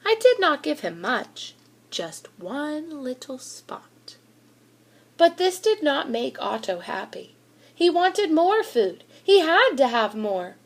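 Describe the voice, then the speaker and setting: A woman with a high voice reads a children's storybook aloud. She takes her time, with a deliberate, animated storytelling delivery that puts expressive emphasis on the words. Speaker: school reader of children's books. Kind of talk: expressive children's picture book read-aloud.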